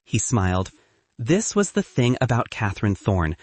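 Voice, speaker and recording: Casting: male audiobook narrator, husky edge, animated delivery of scripted fiction. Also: fairly low pitch, normal speed, no room sound.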